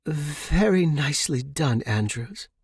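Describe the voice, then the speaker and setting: A man's voice: on the high side, animated, slow, a little mellow, breathy edge, dry recording, clean studio sound, pitched above the performer's own voice, exaggerated voice. A man voicing a genteel older wealthy lady in an audiobook, gracious but fretful, with mannered, polite, delicate speech. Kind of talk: audiobook character voice.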